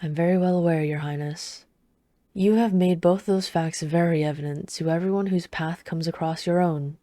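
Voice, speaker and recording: A woman acts out a reserved knight in a fantasy ASMR roleplay. Her voice is deep and velvety, recorded close and dry, with formal, wry restraint. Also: fairly even intonation, normal speed, warm tone, dry recording, close to an everyday voice. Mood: wry, restrained. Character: reserved, dutiful female knight, dryly scolding royal lover.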